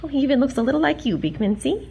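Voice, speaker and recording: Actress as animated, prim young scholar. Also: female voice, high-pitched, racing, gravelly, heavy background noise, full character voice. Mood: excited wonder. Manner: articulate, excitable, haughty. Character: smart, prim, flustered young female museum scholar. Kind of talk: comic 1940s-style audio drama acting.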